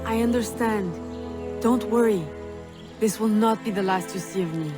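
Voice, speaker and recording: Young female assassin, voiced by an actress: mid-pitched, earnest, determined, game drama over music. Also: normal speed, close to an everyday voice. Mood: reassuring, resolute. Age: young adult. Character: resolute young female assassin, devoted daughter. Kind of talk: dramatic video game cutscene acting. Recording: background music.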